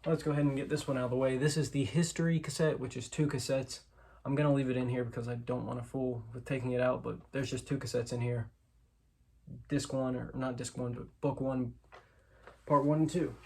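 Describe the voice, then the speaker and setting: A man's voice: mid-range voice, little pitch movement, brisk, a little mellow. A man hosting an informal, unscripted show-and-tell of a collection, hedging and correcting himself as he goes. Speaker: host, collector showing their collection. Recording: clear background noise with clicks and clatter.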